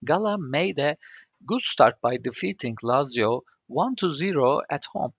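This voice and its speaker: Turkish accented young guy doing his podcast, kinda gravelly, dry sound, careful english w/ some ums. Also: fairly high pitch, animated, unhurried, no echo, dull audio. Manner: careful non-native phrasing with fillers. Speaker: podcast host. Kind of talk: scripted solo podcast.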